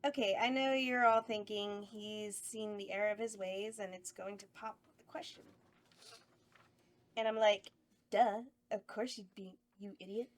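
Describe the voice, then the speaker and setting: A woman's voice: mid-range voice, steady tone, measured pace, close to an everyday voice. A woman playing a flirtatious, campy horror hostess at a table read. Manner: campy, playful, sultry.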